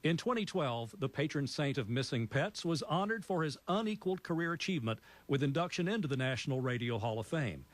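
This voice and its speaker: A man, a news anchor reading a tribute in a measured way. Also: on the high side, animated, no room sound. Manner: warm, measured tribute delivery. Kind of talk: broadcast news narration.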